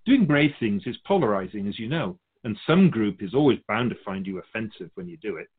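Expressive man giving measured spiritual teaching. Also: fairly low pitch, phone-quality sound. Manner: measured, didactic, explanatory. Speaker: spiritual guide speaking as the Keeper.

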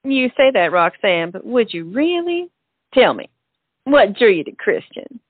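A woman acting a bossy, skeptical friend in a stage comedy, wry and teasing. She takes her time with a lively, swooping delivery, through a tinny narrow line. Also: a little high, measured pace, husky, no echo, full character voice. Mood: skeptical, amused. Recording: tinny, narrow-band sound.

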